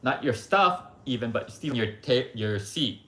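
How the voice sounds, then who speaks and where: A man's voice: mid-range voice, animated, moderate pace, bright, forceful tone. A man hosting a livestream, talking in a blunt, rambling, opinionated way with lots of asides. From United States. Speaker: livestream host. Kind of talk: casual opinionated monologue. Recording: roomy echo.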